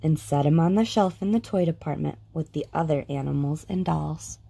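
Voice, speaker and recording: A woman reading a children's picture book aloud with a lilting, expressive storytelling manner. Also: low-pitched, normal speed, creaky, a little hiss or hum. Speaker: storybook reader.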